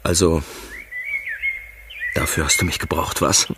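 An actor in a German radio drama playing a plain-spoken secret lover, terse, wary and wounded yet passionate. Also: male voice, rumbling low, steady tone, unhurried. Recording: clearly noisy sound with clicks.

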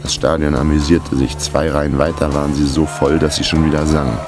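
A man's voice: very deep voice, level tone, a little fast, music in the background. A man narrating a radio crime drama as a laconic amateur sleuth, in a terse, matter-of-fact way. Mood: laconic, tense. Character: laconic male amateur sleuth telling his own case.

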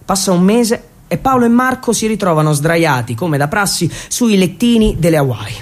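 High-pitched man, theatrical storyteller. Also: lively intonation, moderate pace. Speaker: actor-narrator.

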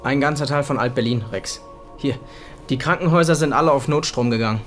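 A man's voice: mid-range voice, quick pace, a bit bright, soft background music, natural voice. An actor playing a male newsroom staffer keen on sensational footage, in radio drama dialogue. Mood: excited.

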